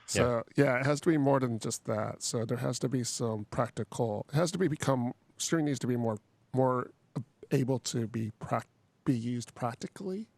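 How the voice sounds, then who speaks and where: Male podcast host, mid-pitched voice, conversational and a little halting, dry studio sound. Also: creaky, a little mellow, no echo. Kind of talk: casual tech podcast discussion.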